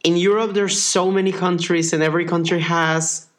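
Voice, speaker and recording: A man's voice: sits high, little pitch movement, normal speed, fairly bright tone. A man from Mexico with a Mexican accent, a native Spanish speaker, talking casually in English and explaining things informally as a podcast co-host. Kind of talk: casual conversational chat.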